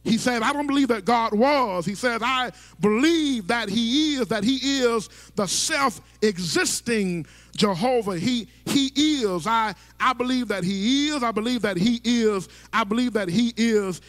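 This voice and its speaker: A man's voice: extremely high pitch, measured pace, a bit bright. A middle-aged male pastor preaching from a church stage into a handheld mic, with rhythmic sing-song phrasing and stammering restarts. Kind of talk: sermon. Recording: long church hall reverb.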